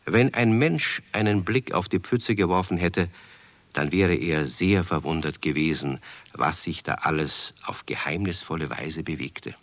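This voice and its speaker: A man with a deep voice tells a children's story. His intonation moves widely and expressively through the scripted narration. The sound is close and dry, with no room around it. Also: no echo.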